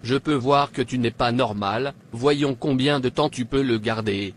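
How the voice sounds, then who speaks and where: A man's voice: mid-range voice, measured pace, natural voice. Imperious cartoon king played by a man, noisy recording. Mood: haughty, threatening. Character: haughty, threatening pagan king, later fearful and pleading. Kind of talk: dubbed animated religious drama.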